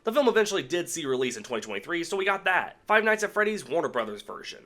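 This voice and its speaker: A man's voice: a little high, sing-song, fast talker, bright, forceful tone. A man delivering scripted countdown commentary as a video host, with a sarcastic, irreverent, casually profane manner.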